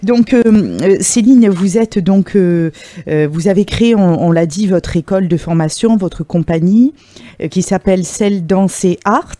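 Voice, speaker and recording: A woman's voice: medium pitch, measured pace, dark tone, dry recording. A woman hosting a radio interview, polite and hesitant with many fillers. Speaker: radio host.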